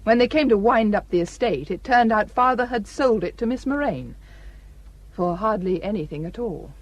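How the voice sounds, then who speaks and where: A woman acting a frank young village doctor with a quiet sadness in a radio drama. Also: mid-range voice, lively intonation, normal speed, lightly acted, rough recording. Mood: subdued, confiding.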